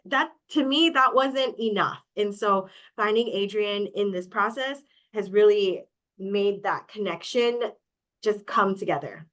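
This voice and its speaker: A woman's voice: medium pitch, a little slow, a bit bright. A woman from Hawaii talking as a guest in a casual interview, speaking openly and candidly with many "um"s. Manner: open, candid, with many fillers. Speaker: guest, coaching client.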